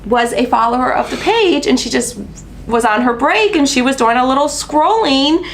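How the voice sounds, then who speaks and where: Stuffy-nosed chatty woman, bright voice. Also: high-pitched, normal speed, slight background noise. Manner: chatty storyteller, lots of fillers and excited asides. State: stuffy and nasal from allergies. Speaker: host of a craft channel. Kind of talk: casual chat on a live stream.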